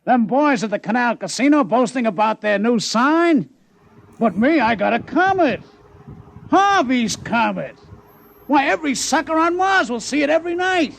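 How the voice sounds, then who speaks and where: A brash gambling-house boss in an old radio comedy. His voice sits high with a gravelly creak and swings widely. He talks in blunt, slangy tough-guy phrases and gets worked up easily. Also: very animated, moderate pace, a bit bright, no room sound, exaggerated voice. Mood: delighted. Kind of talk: mid-century radio comedy acting.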